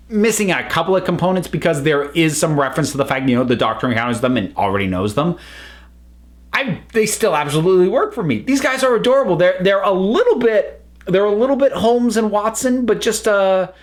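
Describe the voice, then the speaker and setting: A man with a slightly high voice talks as an online reviewer. His pitch moves around a great deal as he chats. The delivery is unscripted and enthusiastic, drifting into asides as he goes. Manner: chatty, enthusiastic, digressive.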